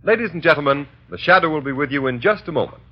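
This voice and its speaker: A man's voice: a little high, animated, quick pace, breathy edge, faint music behind. A man announcing in formal, emphatic 1930s radio style, reading from a script. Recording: muffled 1930s radio recording.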